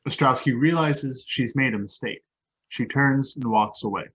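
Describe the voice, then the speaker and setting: A reader of unclear sex giving scripted stage directions at a play table read, with a husky voice. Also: medium pitch, moderate pace, a bit bright, poor audio.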